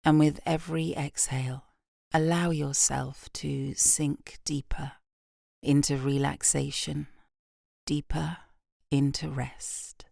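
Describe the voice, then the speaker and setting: A British woman guiding a yoga nidra meditation in a soft, gentle, unhurried voice with long pauses, breathy at phrase ends. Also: sits low, little pitch movement, no room sound, clean studio sound. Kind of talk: guided meditation, slow and soothing.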